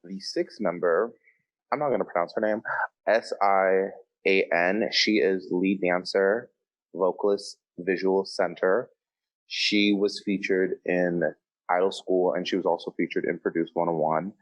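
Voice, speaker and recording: Very low-pitched man chatting on a podcast. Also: steady tone, a little slow, lo-fi sound. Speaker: podcast co-host. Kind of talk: casual podcast chat.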